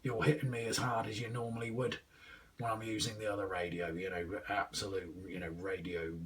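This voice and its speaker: A man's voice: on the low side, level tone, a little fast, far from the mic, low-quality recording. Man in his twenties, hesitant slangy radio chat, recorded away from the microphone. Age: 20s. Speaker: amateur CB radio operator testing a new radio. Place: small indoor room with radio gear.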